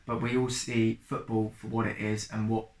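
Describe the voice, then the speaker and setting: A man's voice: lowish voice, flat delivery, normal speed, reverberant. A man in his twenties, a football fan, speaking reflectively in a conversational documentary interview. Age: 20s.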